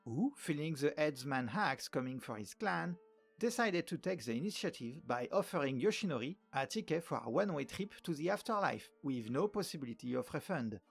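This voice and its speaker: A man's voice: a little high, sing-song, a little fast, gravelly, slightly rough, soft background music. A man from France with a French accent narrating a history video, with wry joking asides. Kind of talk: scripted narration.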